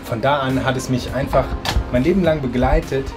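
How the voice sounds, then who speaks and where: A German man in his forties talking casually while cooking in his home kitchen, relaxed with many hesitations. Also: medium pitch, a little fast. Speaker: interviewed chef. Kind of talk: casual cooking demo and interview. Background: background music.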